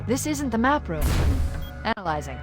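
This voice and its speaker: A woman's voice: fairly high pitch, very animated. Female military AI, composed, analytical, precise, sci-fi game, prominent music behind. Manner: analytical, precise, briskly informative. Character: composed, clever female military artificial intelligence. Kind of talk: scripted sci-fi game acting.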